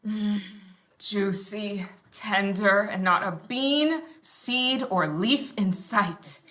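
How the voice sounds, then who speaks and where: Slow, sarcastic teen girl, played by a woman. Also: medium pitch, fairly bright tone. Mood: savoring. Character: sarcastic, picky teenage girl, reluctant vegan. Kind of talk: sitcom table read before live audience. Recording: roomy stage sound.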